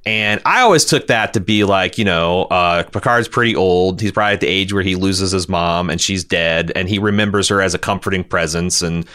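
A man hosting a podcast, discussing television casually in a halting, rambling way and often repeating words as he reasons. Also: low voice, fairly bright tone, smooth. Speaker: podcast host reading listener feedback.

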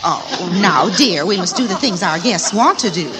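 An actress playing a sweet, fussy middle-aged wife and eager hostess in a classic radio sitcom, chatty and fluttery. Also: female voice, fairly low pitch, animated, moderate pace, lightly acted. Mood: fussing, confiding. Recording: noisy old radio broadcast.

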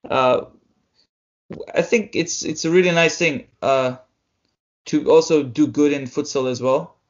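A young man co-hosting a sports podcast, explaining results in a halting way with many fillers. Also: fairly high pitch. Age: young adult. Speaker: podcast co-host. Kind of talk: casual sports chat. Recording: dull lo-fi audio.

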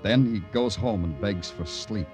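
An actor playing a tough, weary New York police lieutenant in old radio crime drama style, clipped and terse. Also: male voice, lowish voice, a little slow, lightly acted. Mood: weary, brooding. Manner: clipped, hard-boiled, terse. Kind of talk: 1950s radio crime drama acting and narration. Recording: old radio broadcast with music behind.

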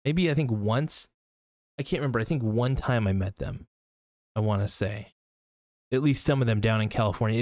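A man's voice: medium pitch, a little mellow, no room sound, studio quality. A young man hosting a casual solo podcast, rambling through his thoughts with lots of fillers and restarts. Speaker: podcast host.

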